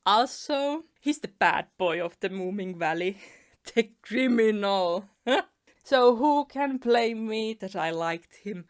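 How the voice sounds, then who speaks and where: A woman's voice: mid-range voice, animated, slow pace. Chatty young woman with a Finnish accent. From Finland. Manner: chatty, earnest, laughs between phrases. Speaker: animation YouTuber. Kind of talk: storytime narration over a speedpaint.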